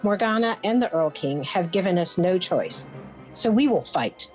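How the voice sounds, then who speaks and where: A woman's voice: fairly low pitch, animated, moderate pace, a little mellow, soft background music, close to an everyday voice, low-quality recording. An actress playing a proud, haughty, forthright noble queen in a fantasy radio play. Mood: grudging resolve.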